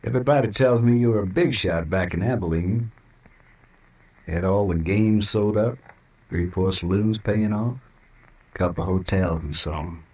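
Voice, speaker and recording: A menacing American drifter gunman in a vintage radio Western. His voice sits very low and husky. He talks in short, rustic, taunting phrases with cold intent. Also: normal speed, a little mellow. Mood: taunting, surly. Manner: clipped, taunting, rustic speech. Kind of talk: 1950s Western radio drama acting.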